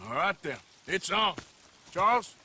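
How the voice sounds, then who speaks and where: An actor playing a gruff, weary, commanding Wild West gang leader with an American accent and a curt, dry drawl in a cinematic video game. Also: male voice, high voice, lively intonation, unhurried, bright, forceful tone, slight background noise, full character voice, poor audio.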